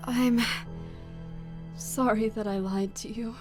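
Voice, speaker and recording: An actress playing an earnest, dignified young princess in a comic dub, speaking in a formal, sincere, measured way. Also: female voice, on the high side, steady tone, music in the background. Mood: solemn, regretful. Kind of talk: dramatic comic-dub voice acting.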